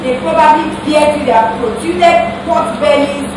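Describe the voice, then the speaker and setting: Echoey, high-voiced bitter mother. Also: unhurried. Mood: bitter, indignant. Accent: Nigerian. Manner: loud, projected, theatrical. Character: aggrieved mother railing bitterly against nature. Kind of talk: declamatory stage monologue. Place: theatre stage. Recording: echoey stage hall, distant mic, noisy.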